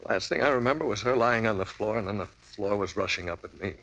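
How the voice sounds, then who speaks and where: A shaken, hungover client. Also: male voice, on the low side, animated, fast, a bit bright, poor audio. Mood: dazed. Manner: wavering from mumbling to frantic. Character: rattled hungover man, frantic and hysterical. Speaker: client telling a story. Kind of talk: 1950s radio drama acting.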